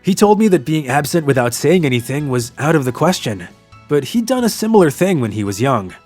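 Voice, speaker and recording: A man's voice: a little high, sing-song, fairly quick, a little mellow. An actor playing an earnest young office worker, narrating his own love story in a manga drama, with music behind. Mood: wistful, reflective.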